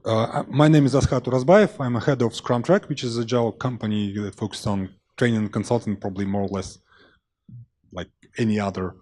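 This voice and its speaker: Husky-voiced man on a panel. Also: medium pitch, brisk, darkish tone. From Russia. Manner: thinking aloud, loose and conversational. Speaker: agile trainer and company head. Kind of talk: conference panel answers. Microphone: handheld microphone.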